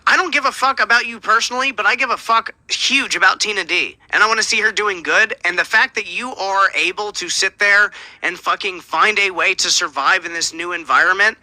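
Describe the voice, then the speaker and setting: A man's voice: high voice, sharp tone, no room sound, poor audio. A man hosting a livestream show, arguing heatedly and swearing a lot. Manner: heated, profane and confrontational.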